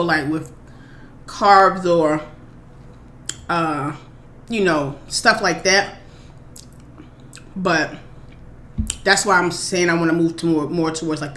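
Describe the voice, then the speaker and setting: A woman in her thirties from Maryland, chatting casually and rambling through viewer questions on her eating show. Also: fairly low pitch. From Maryland, USA. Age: 30s. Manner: rambling, chatty, relaxed, with many fillers. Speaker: mukbang vlogger. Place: home. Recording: echoey room, faint background noise.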